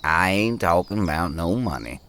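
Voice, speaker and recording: Needling camp tramp, very animated intonation, slangy and folksy, audio play. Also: male voice, low voice, moderate pace. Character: brash, mocking male hobo sizing up newcomers. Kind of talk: audio drama acting.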